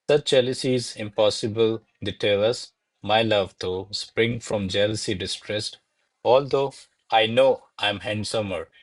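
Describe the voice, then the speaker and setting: A man's voice: fairly low pitch, no room sound, natural voice. A man playing a diffident, formal male secretary who speaks deferentially to his lady in a radio play. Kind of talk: stage verse drama read as radio play.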